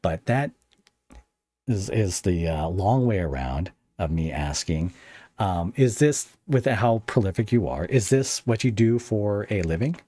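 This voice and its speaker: A man's voice: lowish voice, expressive, unhurried, mellow, dry recording. A man hosting a casual podcast interview, talking informally in a rambling, hesitant way.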